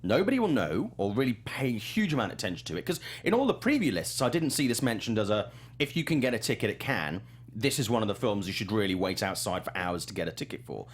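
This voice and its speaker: Lively, low-voiced man hosting a chat show. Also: quick pace. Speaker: host of a movie news talk show. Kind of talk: casual panel chat.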